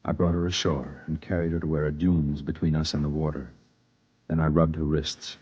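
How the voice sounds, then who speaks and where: A man's voice: rumbling low, little pitch movement, a little fast, darkish tone, husky, dull audio. A man acting a brooding, lonely newspaper reporter who tells his own story poetically and intensely in a 1950s radio drama. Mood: brooding, reflective. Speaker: narrator and lead actor. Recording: noisy 1950s radio recording.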